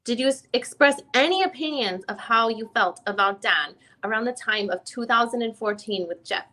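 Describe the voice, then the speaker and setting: Woman acting as female attorney, higher voice, very bright and forceful, persistent questioning, rephrases as she goes, deposition reenactment. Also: normal speed, low-quality recording. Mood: probing, businesslike. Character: female defense lawyer questioning a witness, probing and persistent. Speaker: reader playing a deposing attorney. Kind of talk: dramatic reading of a deposition transcript.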